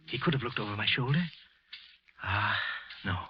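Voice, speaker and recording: An actor playing a guilty, nervous office worker in a vintage radio thriller, speaking in a deliberate, intense, brooding manner. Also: male voice, on the low side, breathy edge. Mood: shaken, nervous. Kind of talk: old-time radio thriller acting, first-person narration. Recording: muffled vintage radio recording with music.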